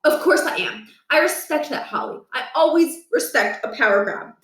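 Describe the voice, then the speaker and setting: A woman's voice: high voice, lively intonation, normal speed, fairly bright tone, pitched above the performer's own voice. Woman voicing a pompous grandfatherly principal, echoey room. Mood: smug. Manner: condescending, lecturing, menacing. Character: pompous, power-hungry male school principal, older grandfather. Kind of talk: children's book read aloud. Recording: echoey room, distant from mic.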